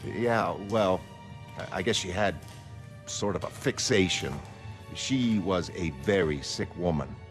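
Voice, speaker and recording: A wistful, middle-aged American ex-crime fighter from a motion comic, with music playing under the voice. He speaks fairly low, in a hesitant and self-effacing way. He comes across as mild and unsure of himself. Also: a little slow. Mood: wistful, awkward. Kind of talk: dramatic motion comic character acting.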